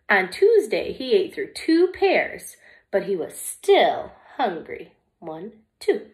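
A young woman who teaches small children, reading a picture book aloud in an expressive, deliberate way meant for little listeners. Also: medium pitch. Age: young adult.

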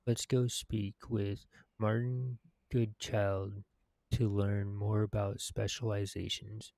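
A man in his thirties reads game text aloud with halting phrasing. His voice is low and gravelly, and he takes it very slowly. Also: level tone, mellow, no room sound. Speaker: gamer reading quest text aloud. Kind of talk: read-aloud narration of game quest text.

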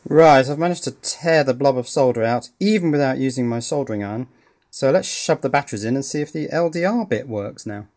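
A man's voice: medium pitch, lively intonation, a little fast, a little mellow. A man giving casual, thinking-aloud commentary as he takes apart and repairs a toy at his workbench, with a dry, wry manner. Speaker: electronics hobbyist presenter. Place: indoors at a workbench.

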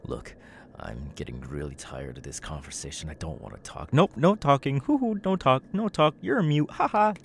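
A man playing a young, unhinged masked serial killer in an ASMR audio roleplay, creaky and halting, muttering with erratic, twitchy menace. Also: mid-range voice, lively intonation, background noise. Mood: muttering, weary, then manic, taunting. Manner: halting, muttering, sudden tics and hoots.

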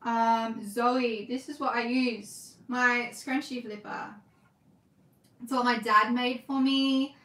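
A young Australian woman chatting casually on a livestream from her sewing room, reading comments, talking fast and laughing often. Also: fairly high pitch, fairly even intonation, pushed and bright, reverberant. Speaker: livestream host, scrunchie maker. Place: home sewing room, indoors.

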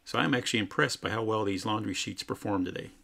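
Man, low voice, gravelly, matter-of-fact product review narration. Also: fast talker, bright, forceful tone. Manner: plain, matter-of-fact explaining. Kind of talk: home product test voice-over.

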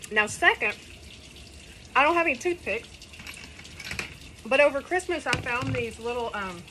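A woman's voice: mid-range voice, bright, forceful tone, a little hiss or hum. A middle-aged woman, rambling chattily through a casual cooking demo while sitting in a kiddie pool outdoors, recorded on a phone camera. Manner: chatty, rambling, folksy asides. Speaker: cooking vlogger. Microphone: phone camera on a table.

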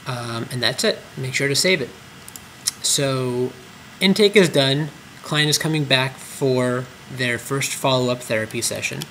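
A man's voice: medium pitch, measured pace, bright, forceful tone, slight background noise, low-quality recording. A man walking through clinic tracking forms on screen, explaining step by step with frequent ums and uhs. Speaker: clinic trainer. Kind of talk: screen-recorded software tutorial.

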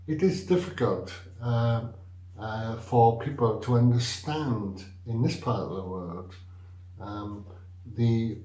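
An older man giving a daily devotional talk, preaching slowly and carefully with frequent pauses and fillers. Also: fairly low pitch, warm tone. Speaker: church devotional speaker. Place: small indoor room, plain wall. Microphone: webcam or camera facing him at desk. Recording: roomy echo.

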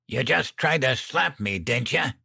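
A man voicing a violent, sneering drunk smoker with a raspy croak and coarse, slangy speech, in an audiobook. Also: mid-range voice, animated, a little slow, bright, forceful tone, no room sound, studio quality, pitched above the performer's own voice, heavily acted. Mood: menacing.

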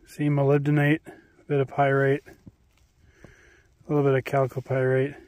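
A man's voice: mid-range voice, completely flat delivery, moderate pace, darkish tone. A man casually narrating outdoors at a snowy old mine site, naming minerals as he holds up rock samples for a handheld camera. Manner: matter-of-fact, names minerals while showing rock samples. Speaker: mineral exploration vlogger.

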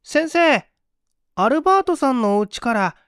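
A man voicing a frightened young schoolboy who answers timidly and haltingly, in a storybook reading. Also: very high-pitched, big pitch swings, fairly bright tone, clear, resonant tone, no room sound, clean studio sound, voice pushed up, heavily acted. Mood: urgent.

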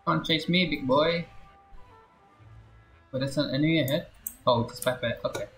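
A man's voice: a little high, moderate pace, a bit bright. A man streaming a video game with casual, playful commentary, muttering to himself and his chat in short exclamations. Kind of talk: casual live gameplay commentary. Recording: roomy echo with game music behind. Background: video game music.

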